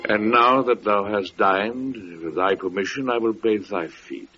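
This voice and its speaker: An actor playing a gentle, pious old gatekeeper with solemn, meek archaic speech in an old-time radio drama. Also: male voice, fairly low pitch, a little slow, faint music behind. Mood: solemn devotion. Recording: muffled, noisy vintage radio recording.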